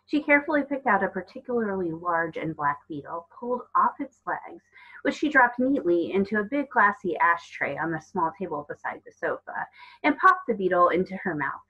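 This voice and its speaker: Female storyteller for children's fiction: lower register, husky touch, narrated read-aloud, muffled recording. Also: lively intonation, normal speed, sharp tone. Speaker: storybook reader. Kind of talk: reading a children's novel aloud.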